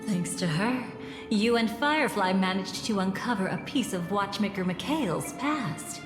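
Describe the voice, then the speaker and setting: A woman voices a mysterious, elegant young schemer from a video game. She speaks with sly, playful deliberation. Her mid-pitched voice rings in heavy echo, with music strongly underneath. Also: animated, measured pace, lightly acted. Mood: sly, amused. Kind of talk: scripted game dialogue.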